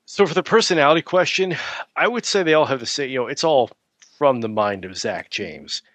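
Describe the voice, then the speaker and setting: A man's voice: mid-range voice, lively intonation, a little fast, fairly bright tone, dry recording. Casual man in a dry, close recording. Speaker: podcast guest, adventure novelist. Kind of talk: casual interview chat.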